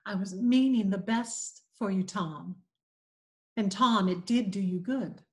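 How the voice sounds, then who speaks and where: A woman voicing a stern but soft-hearted older aunt scolding a boy. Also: mid-range voice, slow pace, natural voice. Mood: gentle. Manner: scolding, exasperated.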